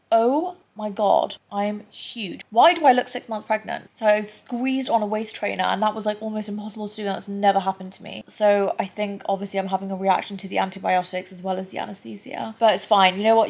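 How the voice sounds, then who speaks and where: Young woman, stuffy congested voice with a gravelly fry, candid chatty vlogger talking into a laptop in bed. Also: medium pitch, a little mellow. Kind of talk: casual recovery vlog to camera. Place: bedroom, indoors.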